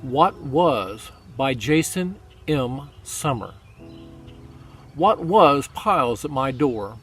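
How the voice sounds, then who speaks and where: A man reading poetry aloud as a dramatic recitation, solemn and deliberate, with pauses for effect. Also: medium pitch, lively intonation, a bit bright. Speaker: poetry reader. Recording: music under the voice. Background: background music.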